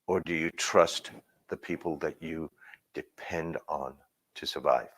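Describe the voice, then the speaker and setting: A man from Burma telling his personal story in a reflective way, pausing and restarting as he talks. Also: extremely low pitch, steady tone, a bit bright. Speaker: speaker sharing his story.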